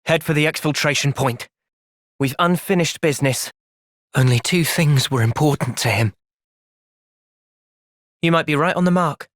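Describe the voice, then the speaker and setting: An actor with an English accent playing an earnest, gentle young soldier in a video game cutscene, speaking in a measured, sincere way. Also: male voice, on the high side, no room sound, clean studio sound, natural voice. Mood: calm, resolute.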